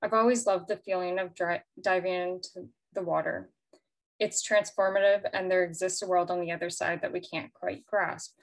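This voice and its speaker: A woman artist reading her prepared statement slowly and carefully over a video call. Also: lowish voice, steady tone, vocal fry, poor audio. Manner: slow, measured reading. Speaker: exhibiting artist.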